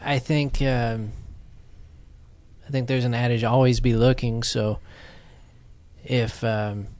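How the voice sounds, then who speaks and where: A man guest on a radio talk show, hesitant and trailing off quietly as he talks about his job search. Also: medium pitch, level tone, slow pace. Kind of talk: casual radio interview.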